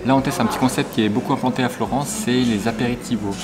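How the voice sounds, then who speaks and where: A man's voice: medium pitch, fast, crowd noise. A young man chatting casually and jokily in a travel vlog on a city square, with frequent hesitations. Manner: relaxed, hesitant, jokey. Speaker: travel vlogger. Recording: street noise behind.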